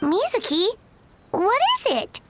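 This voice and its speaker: A woman voicing a small, excitable, cheeky childlike fairy companion in a video game, with a squeaky, chatty delivery. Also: takes it slowly, music in the background, full character voice. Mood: curious. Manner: exclamatory, chatty.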